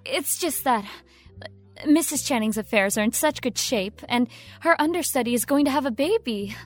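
A woman's voice: sits high, expressive, normal speed, faint music behind. A woman playing a demure, eager young assistant in an audio drama, polite and deferential. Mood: humbly pleading. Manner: polite, soft-mannered, deferential.

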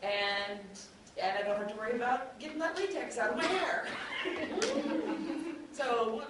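A woman's voice: a little high, unhurried. A woman moderating a convention panel and talking informally about making audio drama. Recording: very echoey room, distant mic, clear noise.

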